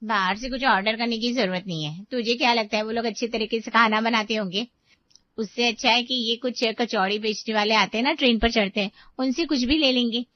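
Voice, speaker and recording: A woman's voice: on the high side, brisk, dry recording, natural voice. A woman doing an eager young daughter-in-law. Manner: chatty, persuasive. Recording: dull dry voice-over.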